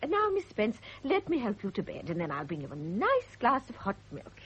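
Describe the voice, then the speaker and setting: A woman's voice: a little high, huge pitch swings, normal speed. A woman playing a fussy, motherly nurse in a radio drama, with a coaxing, sing-song manner. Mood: soothing, cajoling. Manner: cajoling, sing-song, fussing. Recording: muffled old radio drama recording, faint hiss.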